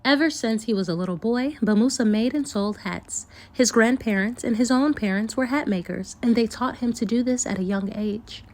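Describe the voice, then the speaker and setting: Female storyteller, higher-pitched voice, expressive and deliberate read-aloud of a folktale for kids.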